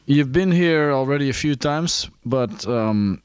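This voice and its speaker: A man's voice: mid-range voice, lively intonation, unhurried, clear, resonant tone, no echo, studio quality. A man hosting an interview, asking questions with many ums and restarts.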